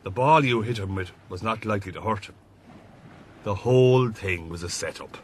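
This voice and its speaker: An actor playing an older, avuncular Irish army captain, blunt and worldly, in a radio drama. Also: male voice, fairly low pitch, moderate pace, slight background noise, lightly acted. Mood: wry.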